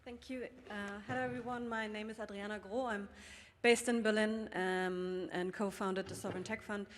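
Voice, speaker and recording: A woman introducing herself on a conference panel in a steady, composed way. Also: mid-range voice, normal speed, a little hiss or hum. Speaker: panelist, fund co-founder. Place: large conference hall. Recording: long hall reverb.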